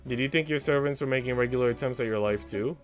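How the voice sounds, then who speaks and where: A man's voice: mid-range voice, quick pace, faint music behind, close to an everyday voice. A man voicing an earnest, sceptical young maidservant who is plain-spoken and easily exasperated, in a visual novel. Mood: worried.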